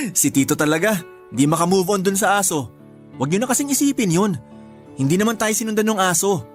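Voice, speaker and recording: A man's voice: sits high, sing-song, normal speed, pauses often, soft background music. A man playing an exasperated but respectful young nephew who puts on a brave front in a Tagalog radio drama. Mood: teasing.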